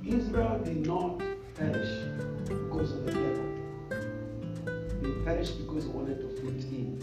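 Kenyan pastor joking with the crowd, super echoey hall, music playing. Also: male voice, on the high side, unhurried, distant mic. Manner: lively, joking, call-and-response with the congregation. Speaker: preacher. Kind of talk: sermon. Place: church hall.

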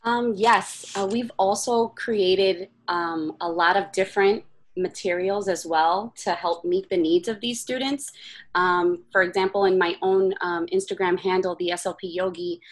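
A woman speech therapist talks as a podcast guest, her voice slightly low. She answers hesitantly, with many ums and uhs. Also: steady tone, unhurried. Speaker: speech-language pathologist guest. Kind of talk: casual interview answers.

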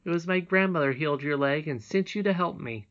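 A woman's voice: mid-range voice, sing-song, a little slow, lo-fi sound, pitched above the performer's own voice. A woman voicing a sweet, trusting young princess in a storybook, earnest, emphatic and childlike. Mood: tender, excited. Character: sweet, trusting young princess, little girl, eager and polite.

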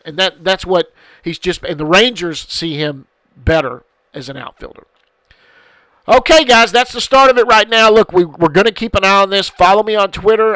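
A man's voice: a little high, a bit bright, no room sound. A middle-aged man hosting a podcast, answering listener questions in a rambling, repetitive casual chat, with a stuffed-up head-cold voice. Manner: rambling, repeats himself, frequent restarts. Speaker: podcast host.

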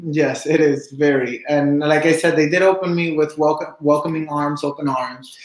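A man's voice: on the high side, steady tone, moderate pace, echoey room, low-quality recording. A young man from Cuba, a bartender and real estate agent, chatting in an upbeat way with many fillers in an interview. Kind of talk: casual interview answers.

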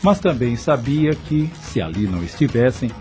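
Guy narrating a radio soap like a storybook, lively melodic delivery, soft music behind him. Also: mid-range voice, normal speed. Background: background music.

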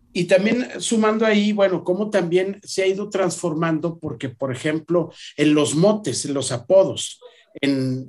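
Man, professor, higher voice, anecdotal chatty delivery. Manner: lively, anecdotal, many fillers. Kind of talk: conversational discussion.

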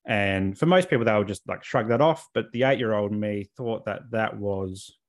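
A man's voice: on the low side, normal speed. A man speaking as an interview guest, telling his personal story in a candid, reflective and informal way. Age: adult, over 28.

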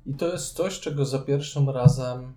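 Podcast co-host, male, mid-pitched with a very soft, dark timbre, casual conversational delivery. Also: moderate pace, soft background music.

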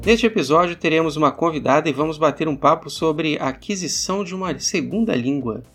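A man's voice: fairly high pitch, lively intonation, quick pace, fairly bright tone, faint music behind. A man with a Brazilian accent hosting a podcast, giving formal, announcer-like introductions. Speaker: podcast host, English teacher.

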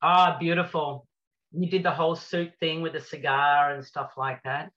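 A woman talks loosely and chattily as an interview guest, her thoughts wandering through plenty of "you know" and "like". Her voice is bright and forward. The call audio is dull and lo-fi. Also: low-pitched, steady tone, moderate pace. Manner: chatty, rambling with many fillers. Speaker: guest artist and cabaret singer. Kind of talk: casual video-call interview chat.

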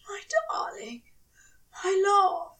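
Woman voicing a high, prim, tender male angel. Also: animated, takes it slowly, creaky, fairly bright tone, recorded from a distance, heavily acted. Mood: adoring. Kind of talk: fan fiction audio storytelling.

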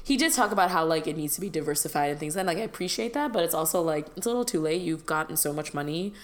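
A young woman co-hosting a podcast, chatting frankly and laughing often. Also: deep voice. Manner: quick, laughing, frank banter. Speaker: podcast co-host. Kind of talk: casual chat.

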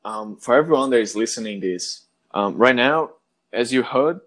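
A man's voice: mid-range voice, lively intonation, moderate pace, a bit bright. A Brazilian man, a native Portuguese speaker, hosting a casual podcast interview in careful English with a friendly manner. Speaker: podcast host.

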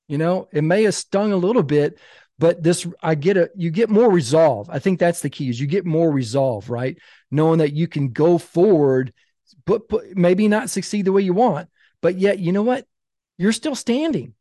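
A man in his fifties, a podcast guest and author, talking in an animated, emphatic storytelling way. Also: high-pitched, dry recording, studio quality. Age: 50s. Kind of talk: casual podcast conversation.

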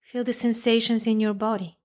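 A woman narrating a guided meditation, speaking calmly in measured phrases with long pauses. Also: on the high side.

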